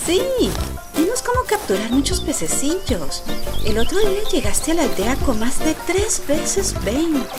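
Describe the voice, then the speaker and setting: Woman as curious child, very high pitch, animated intonation, village friend, audio drama, loud music. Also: unhurried. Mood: admiring.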